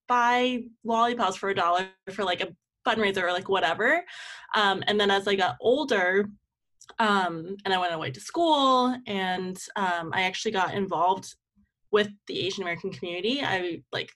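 A woman's voice: mid-range voice, a bit bright. A young woman speaking casually and reflectively as an interview guest, often dropping "like" into her sentences. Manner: informal, reflective, peppers speech with "like". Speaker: guest, community organizer and campaign manager.

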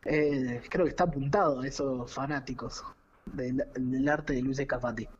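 A man's voice: medium pitch, fairly even intonation, normal speed, husky. A man with an Argentine accent giving conversational commentary as a podcast co-host. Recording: dull, lo-fi voice audio.

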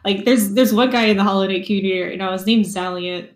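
A woman with a soft voice, a streamer speaking as a guest in a casual interview, measured and thoughtful. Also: medium pitch. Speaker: podcast guest, full-time streamer. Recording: roomy echo.

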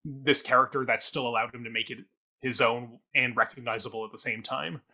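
A man in a casual, analytical review chat, thoughtful and halting as he corrects himself along the way. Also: medium pitch, fairly even intonation, bright, forceful tone, rough recording. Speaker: theatre review podcast co-host.

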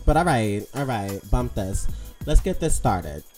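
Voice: medium pitch, sing-song, unhurried, darkish tone, soft background music. A podcast host conducting a mock interview, brash, sassy and openly insulting toward the guest.

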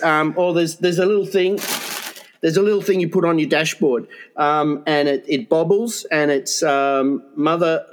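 A man co-hosting a comedy podcast, telling rambling stories with frequent laughs and restarts. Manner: rambling, giggly, puts on high voices for quotes. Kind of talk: improvised comic banter.

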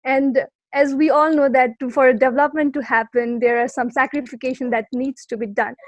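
A young woman in her early twenties with a Nepali accent, speaking earnestly and softly as a podcast guest, often pausing to search for words. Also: high-pitched, steady tone, no room sound, dull audio. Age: young adult. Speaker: guest, youth climate activist. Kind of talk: podcast interview.

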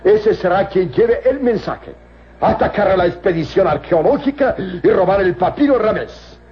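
An actor playing a scheming, arrogant, cruel villain in an old radio serial, with a husky, theatrical, imperious delivery. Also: male voice, high-pitched, lively intonation, moderate pace, slight background noise. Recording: dull lo-fi old radio recording.

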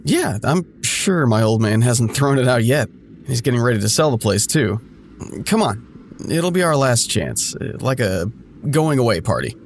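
A man's voice: medium pitch, big pitch swings, normal speed, slight background noise. An actor playing an awkward, scheming man in an audio drama, talking to an old friend in a hesitant, stammering, over-eager way. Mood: eager, coaxing. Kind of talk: naturalistic audio drama dialogue.

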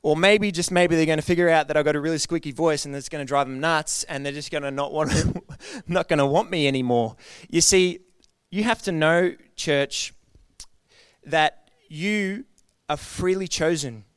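Earnest male preacher, smooth dry voice. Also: fairly high pitch, normal speed, dry recording. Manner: earnest, conversational preaching with rhetorical questions. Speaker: pastor. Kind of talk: sermon.

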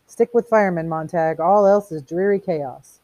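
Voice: mid-range voice, moderate pace, warm tone, close to an everyday voice. A reader voicing a mocking, erudite male fire captain who declaims quotations theatrically in a storybook reading. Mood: taunting. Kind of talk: audiobook character reading.

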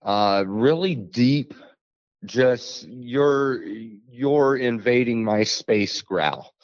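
A man, telling a frightening encounter as a podcast guest, halting with many fillers. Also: animated, dry recording. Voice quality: deep bassy voice. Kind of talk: storytelling an encounter. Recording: dull lo-fi dry sound.

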